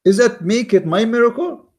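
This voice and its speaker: A man with an Arabic accent whose first language is Arabic, giving argumentative commentary in mocking, emphatic English with repeated words and halting restarts. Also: extremely high pitch, expressive, a little mellow. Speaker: online commentator. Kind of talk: argumentative commentary reading from an article.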